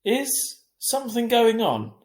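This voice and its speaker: A man's voice: extremely high pitch, huge pitch swings, slow, echoey room, pitched above the performer's own voice, full character voice. A man voicing a worried, protective mother of a teenager in a storybook reading, fussing and pressing.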